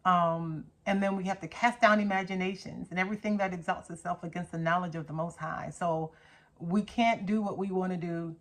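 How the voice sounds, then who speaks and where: A woman's voice: fairly low pitch, steady tone, fairly quick. A middle-aged woman hosting a faith teaching channel, talking casually to camera with an earnest, emphatic manner that leans toward preaching. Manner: earnest, emphatic, with rhetorical questions and repetition.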